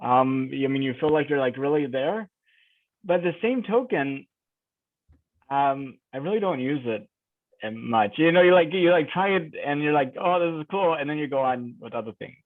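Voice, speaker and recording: A man's voice: on the high side, lively intonation, moderate pace, no room sound. A man interviewing a guest, musing and rambling with many fillers. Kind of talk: casual conversational interview. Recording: tinny, dry phone-like line.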